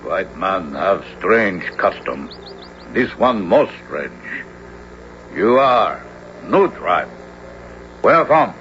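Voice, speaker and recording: A stern warrior in an old radio drama, speaking slowly in halting broken English. His low voice is forceful and swings widely in pitch, suspicious and solemn, on a muffled, hissy recording. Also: very animated, exaggerated voice. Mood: suspicious, puzzled. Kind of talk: 1970s radio drama acting.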